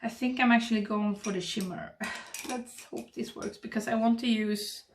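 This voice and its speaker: A woman in her thirties with a Swedish accent, chatting and murmuring softly to the camera while doing her makeup at home. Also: on the high side, steady tone, normal speed, slight background noise. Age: 30s. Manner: soft, rambling, murmuring while applying makeup. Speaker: beauty vlogger. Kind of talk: casual get-ready-with-me chat. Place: home makeup room, indoors. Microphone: webcam framing at desk.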